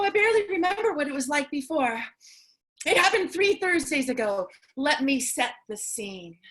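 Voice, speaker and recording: A woman playing an excitable, tender, kooky last survivor of the apocalypse in a staged reading, effusive and dramatic. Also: high-pitched. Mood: giddy, effusive, then wistful storytelling. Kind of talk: theatrical staged reading, monologue and dialogue.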